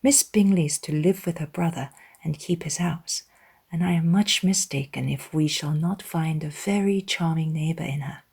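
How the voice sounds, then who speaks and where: A woman voicing a gentle, modest young gentlewoman, soft-spoken and earnest, in a dramatized audiobook. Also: deep voice, normal speed, creaky, husky, exaggerated voice.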